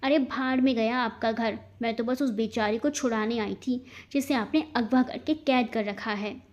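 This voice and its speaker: A woman's voice: on the high side, moderate pace, a little mellow, lo-fi sound, natural voice. A woman voicing a feisty but easily frightened young woman in a dramatic audio story. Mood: indignant. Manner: stammers when frightened.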